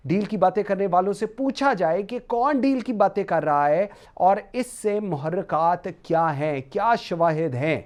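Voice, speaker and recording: A woman's voice: medium pitch, animated, moderate pace, few pauses, darkish tone. A woman with a Pakistani accent presenting a political talk show and putting questions to guests.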